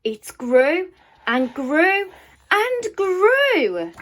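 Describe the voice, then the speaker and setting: A woman reading a children's picture book aloud like a primary teacher, with expressive storytelling, deliberate pauses and emphasised key words. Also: very high-pitched.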